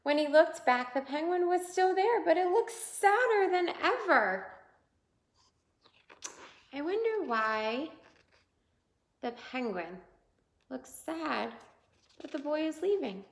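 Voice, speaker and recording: A woman with a high voice reads a children's picture book aloud. Her delivery is slow, with big swings in pitch, and she breaks off to point things out to young listeners. The room adds a noticeable echo. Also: animated, slight background noise. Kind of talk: children's read-aloud storytelling. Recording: roomy, echoing indoor sound.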